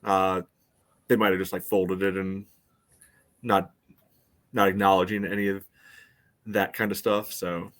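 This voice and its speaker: Lower-voiced man in dry sports chat. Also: level tone, unhurried, long pauses, fairly bright tone. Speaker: soccer podcast co-host.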